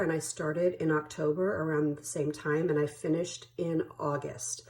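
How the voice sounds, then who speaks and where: A woman with a somewhat low voice chats casually to her viewers about saving money. She sounds stuffy and sniffly from allergies, apologizes easily, and counts bills aloud in a relaxed home vlog. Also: gravelly. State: congested with allergies, sniffling and coughing. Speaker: budgeting channel host.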